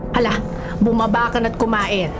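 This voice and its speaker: A woman playing a bossy, protective woman of the household in a radio drama, brisk and scolding. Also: on the high side, level tone, music in the background, lightly acted. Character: bossy, protective women of a household, nanny and elder sister.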